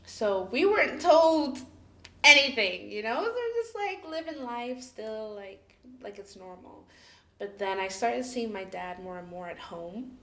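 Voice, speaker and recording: A woman from Cameroon who grew up in the UAE, a guest telling her life story in a casual, chatty way, full of "like" and "you know" and quick laughs. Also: fairly high pitch, big pitch swings, a bit bright. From Cameroon, raised in the UAE. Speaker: interview guest. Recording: echoey room.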